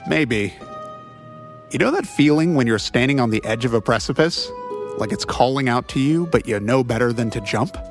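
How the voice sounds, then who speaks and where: A man's voice: mid-range voice, lively intonation, moderate pace, music in the background, natural voice. A man playing a cocky, wisecracking private detective in a pulp radio drama, theatrical and full of banter. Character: cocky, wisecracking male private detective narrating his adventures.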